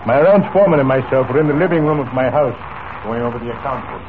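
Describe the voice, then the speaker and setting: A man's voice: mid-range voice, lively intonation, lightly acted. An actor playing a genial, folksy, wealthy oilman in an easygoing 1940s radio style. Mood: grave, intrigued. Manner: easygoing, folksy, deliberate storyteller. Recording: noisy, tinny old radio recording.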